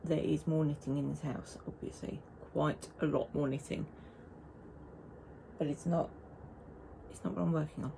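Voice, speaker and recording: Knitting vlogger, woman, lower voice, chatty, restarts her sentences, quiet room hum. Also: steady tone, normal speed, gravelly, long pauses, poor audio. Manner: chatty and rambling, with frequent restarts. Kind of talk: casual chat to camera.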